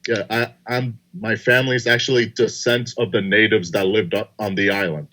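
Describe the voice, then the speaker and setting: A young man co-hosting a casual podcast, speaking hesitantly with many pauses and restarts. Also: lowish voice, flat delivery, bright, forceful tone. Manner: hesitant, full of fillers and restarts. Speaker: podcast co-host.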